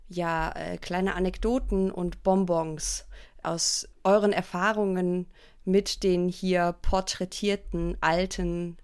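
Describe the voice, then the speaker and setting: A woman's voice: fairly low pitch, a little mellow, smooth. A woman co-hosting a podcast, chatting playfully and hesitantly, with many fillers. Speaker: podcast co-host. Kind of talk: casual podcast chat.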